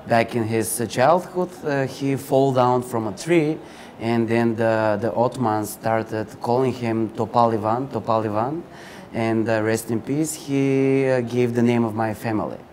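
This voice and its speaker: A man from Bulgaria with a Bulgarian accent, giving informative interview answers as a university recruiter, pausing mid-phrase. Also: mid-range voice, steady tone, a little mellow. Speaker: university recruiter and admissions counselor, interview guest. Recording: clear background ambient noise.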